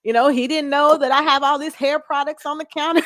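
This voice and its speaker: A woman's voice: high-pitched, steady tone, a little fast, fairly bright tone. A woman in her forties speaking as an interview guest, casual and candid in conversation. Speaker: talk show guest.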